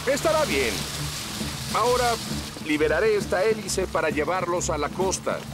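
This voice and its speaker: A man's voice: high-pitched, lively intonation, normal speed, very noisy. A man voicing a heroic, dependable young firefighter in a Latin American Spanish cartoon dub, earnest and eager. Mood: urgent, reassuring. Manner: earnest, eager, clear explaining. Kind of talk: animated children's series dub.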